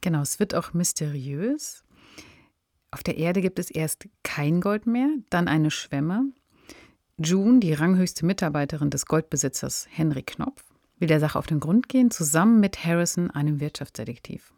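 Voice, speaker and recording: Low-voiced woman podcast co-host. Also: expressive, normal speed, dark tone, no echo. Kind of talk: scripted podcast introduction. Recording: dry studio with faint music. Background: faint music.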